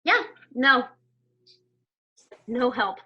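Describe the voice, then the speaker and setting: A woman's voice: sits high, big pitch swings, very slow, dull audio, exaggerated voice. An actress reading an awkward, self-conscious teenage girl at a table read, exasperated and dramatic.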